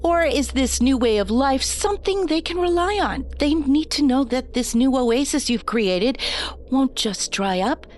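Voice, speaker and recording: Woman playing an earnest, slow-speaking political adviser. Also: high-pitched, lively intonation, a little mellow, a little hiss or hum. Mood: insistent. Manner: careful, earnest, deferential. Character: earnest, nervous female campaign adviser, composed under pressure. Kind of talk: scripted audio drama acting.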